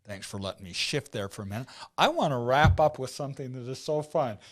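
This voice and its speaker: A man's voice: medium pitch, lively intonation, brisk. A man hosting an interview, affirming his guest and reflecting thoughtfully on what he hears. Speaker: interview host. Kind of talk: conversational interview.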